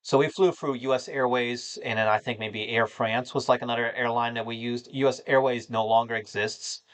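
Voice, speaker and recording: A man's voice: mid-range voice, steady tone, moderate pace. Rambling American man chatting on stream. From United States (North Carolina). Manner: rambling, filler-heavy, addresses chat as "man". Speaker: livestream host answering chat. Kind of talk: casual livestream chat.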